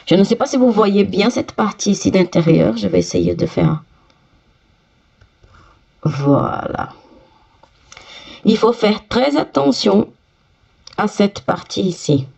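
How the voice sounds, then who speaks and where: A woman with a cold, her voice stuffy, slowly talking viewers through each step of fitting a part on a sewing machine. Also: medium pitch, expressive, gravelly, a little mellow, breathy edge, highs cut off. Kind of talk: step-by-step workshop demonstration.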